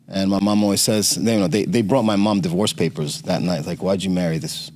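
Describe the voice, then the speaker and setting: A middle-aged man from Romania, a podcast guest telling his family story in a halting way with many fillers and restarts. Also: sits low, fairly even intonation, darkish tone. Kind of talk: conversational interview storytelling.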